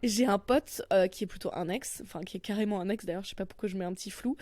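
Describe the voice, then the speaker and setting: A woman's voice: medium pitch, quick pace. Young parisian woman riffing jokes on her podcast, self-mocking, cheeky, faint music under her. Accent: Parisian French. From France. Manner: animated, self-mocking, crude asides. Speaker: comedian podcast host. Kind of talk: comic monologue podcast.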